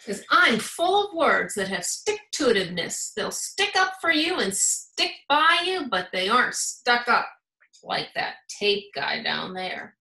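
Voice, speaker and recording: A woman voicing a helpful, boastful glue bottle in a storybook, with chummy sales-pitch patter. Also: wildly swooping pitch, unhurried, sharp tone, exaggerated voice. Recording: roomy, echoing sound.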